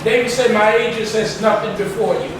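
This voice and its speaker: A man's voice: high voice, fairly even intonation, brisk. A man in his sixties preaching a Bible lesson emphatically, repeating his phrases insistently. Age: 60s. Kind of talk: sermon, Bible teaching. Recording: echoey room, distant mic, faint noise.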